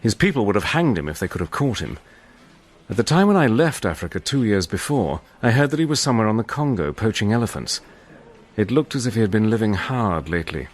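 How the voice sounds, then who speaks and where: An actor playing a cool, determined wartime adventurer, telling his own story in measured, dramatic narration. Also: fairly low pitch, sing-song. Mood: measured, reflective. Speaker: narrator and lead character. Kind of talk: dramatic first-person scripted narration.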